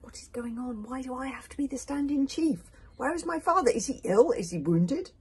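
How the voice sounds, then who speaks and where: A woman's voice: medium pitch, expressive, moderate pace, husky, whispered, pitched above the performer's own voice. A woman reading the part of an anxious thirteen-year-old storybook boy, fretful and urgent.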